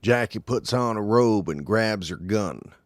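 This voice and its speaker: A man's voice: on the low side, expressive, measured pace, no room sound. A man reading scripted scene narration as the narrator of an audio drama.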